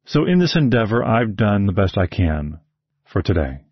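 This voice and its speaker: A husky-voiced man with a somewhat low pitch delivers a scripted podcast talk, direct and advice-giving with dry, wry asides. The recording is dull and lo-fi, close and dry with no room sound. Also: big pitch swings, moderate pace, no room sound. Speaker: podcast host, horse show announcer.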